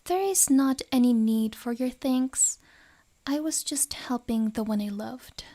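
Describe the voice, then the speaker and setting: Actress as a genteel yandere woman, high soft voice, very slow, possessive, wounded, ASMR roleplay. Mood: earnest pleading. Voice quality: soft, often whispery. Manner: formal, measured phrasing.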